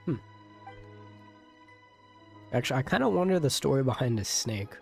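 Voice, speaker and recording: Casting: male game streamer, young adult, average pitch, casual and slangy, rambling over soft game music. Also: lively intonation, normal speed, vocal fry. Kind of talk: casual livestream commentary.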